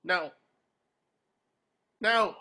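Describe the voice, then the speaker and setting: A performer playing an excitable, childlike male sea sponge in a cartoon table reading, gushing and pleading. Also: medium pitch, very slow. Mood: guilty, pleading.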